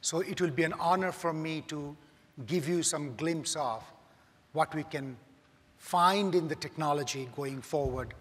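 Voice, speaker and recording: A man introducing himself on a conference panel, halting and thoughtful with a light joke. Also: fairly high pitch, fairly bright tone, a little hiss or hum. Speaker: panelist, standards body chairman. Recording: echoey conference hall.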